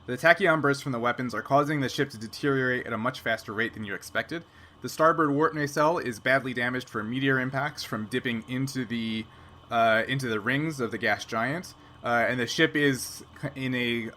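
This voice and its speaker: A man narrating an improvised sci-fi tabletop game as game master, conversational and pausing to find his words. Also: mid-range voice, sharp tone, a little hiss or hum.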